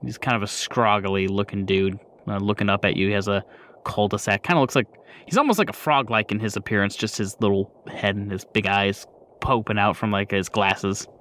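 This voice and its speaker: A man's voice: on the low side, fairly quick, a bit bright, a little hiss or hum. A man acting as game master, improvising the narration of a tabletop role-playing game.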